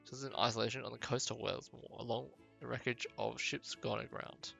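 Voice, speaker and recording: A man's voice: medium pitch, flat delivery, fairly quick, creaky, bright, forceful tone. A man acts out a superstitious islander from a fantasy game, guardian of old ways. The delivery is solemn and emphatic, over faint music. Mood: grave storytelling. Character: protective, superstitious islander guarding ancestral statues. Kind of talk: amateur game dialogue voicing.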